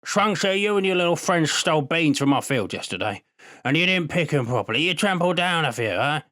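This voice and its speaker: An old country bean farmer from a storybook, acted out by a man, pitched well above the reader's voice. He drawls and hesitates in a folksy, proud way. The recording is dry and close. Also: big pitch swings, brisk, bright, forceful tone, no room sound. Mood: gruff scolding. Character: old village bean farmer, gruff, then proudly pleased. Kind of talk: storybook character voice.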